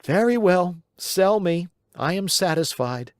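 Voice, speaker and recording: A man's voice: sits high, animated, a little slow, clear, resonant tone, no echo, studio quality, voice pushed up. A man voicing a cheeky young wooden puppet boy in a storybook reading, in a playful, raised voice. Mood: defiant.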